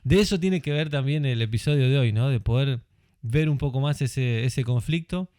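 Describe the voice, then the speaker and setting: Uruguayan male podcast host, chatty and friendly, dry close studio sound, mid pitch. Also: normal speed, darkish tone, no echo. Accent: Uruguayan Spanish. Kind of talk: casual chat.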